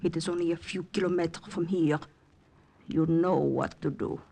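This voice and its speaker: An actress playing a resolute underground conspirator, firm and urgent, in 1940s radio drama style. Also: female voice, low voice, fairly even intonation, moderate pace, creaky, warm tone. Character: resolute French woman secretly aiding the wartime underground. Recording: vintage 1940s radio recording.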